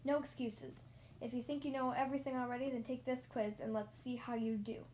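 A strict, bossy rodent driving instructor from a children's storybook, acted out by a woman. He barks orders and scolds in a very high voice, with no patience for excuses. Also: steady tone, fairly quick, a little mellow, a little hiss or hum, lightly acted. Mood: stern. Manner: barking commands, scolding.

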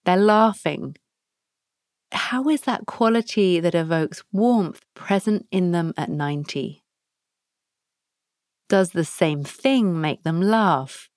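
A woman hosting a podcast, leading listeners through a guided meditation with soft prompts and long pauses. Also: lowish voice, no echo, clean studio sound. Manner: slow, soft, pausing between prompts. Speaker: podcast host guiding a practice.

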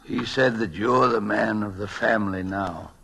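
An American actor playing a kindly, weary older man in a 1940s radio drama, with a husky, halting, gentle delivery. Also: fairly low pitch, fairly even intonation. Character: kindly, weary old man consoling a boy. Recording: vintage 1940s radio broadcast.

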